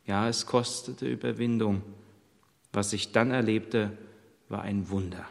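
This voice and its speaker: A pregnant young servant woman on the run pours out her hardship in the first person, voiced by a man in his own plain voice. She speaks slowly and earnestly. The words ring out with a long echo, as if spoken in a large church. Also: on the low side, steady tone, creaky. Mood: despairing. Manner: heartfelt first-person storytelling.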